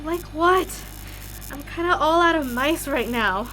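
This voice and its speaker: Woman acting a wary, animated young musician. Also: sits high, a little slow, noisy background. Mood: anxious. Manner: suspicious, dry, cautious. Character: wary, sceptical young female wandering musician, sensible one. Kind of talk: audio drama acting.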